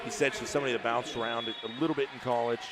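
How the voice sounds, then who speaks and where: A man doing live play-by-play commentary on a college volleyball match, who rises to shouts on big plays. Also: medium pitch, fairly even intonation, fast, background noise.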